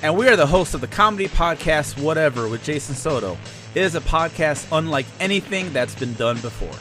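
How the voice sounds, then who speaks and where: A man's voice: fairly high pitch, expressive, a little fast, music in the background. A man who hosts a comedy podcast, delivering scripted comic banter for a promo.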